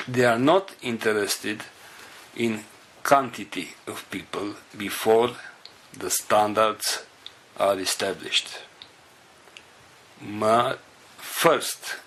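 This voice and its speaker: A man whose first language is Romanian, leading a spiritual group and giving an unscripted talk in slow, halting fragments. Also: lowish voice, a bit bright, a little hiss or hum.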